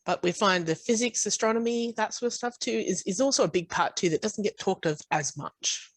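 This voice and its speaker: A woman co-presenting over a video call, brisk and matter-of-fact. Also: mid-range voice, dry recording. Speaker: chemist and co-presenter. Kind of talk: online presentation.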